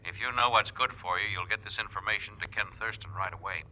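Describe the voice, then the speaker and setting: An actor playing a menacing, curt crook making an anonymous, threatening call in a 1940s radio drama. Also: male voice, fairly low pitch, quick pace, pushed and bright. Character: menacing, commanding male anonymous crook on telephone. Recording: dull, lo-fi 1940s radio recording.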